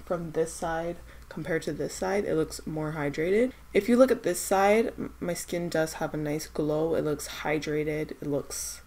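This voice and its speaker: A woman's voice: sits low, a little slow, hardly any pauses, darkish tone. A young woman vlogging about skincare samples, stuffed up from a cold, chatty and hesitant with lots of fillers as she thinks aloud. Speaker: beauty vlogger. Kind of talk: casual product-testing vlog.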